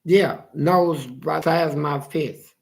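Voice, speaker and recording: A man with a gravelly voice telling a story casually, in animated, profane street talk and acting out the dialogue. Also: a little high, a little slow. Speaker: interviewee telling his life story.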